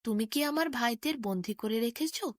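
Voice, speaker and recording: A woman's voice: a little high, normal speed, no room sound, studio quality, close to an everyday voice. A woman voicing a brave, protective eldest prince in a firm, questioning manner for a fairy tale. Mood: firm, confronting.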